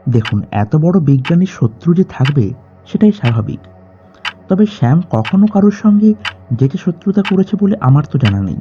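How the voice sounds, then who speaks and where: An actor playing a guarded middle-aged doctor in an audio drama, speaking in a clipped, formal way. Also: male voice, fairly high pitch, very animated, normal speed, pauses often, dark tone. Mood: defensive. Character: curt, guarded doctor in his fifties, grieving a friend. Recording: slightly dull voice track under music. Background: background music.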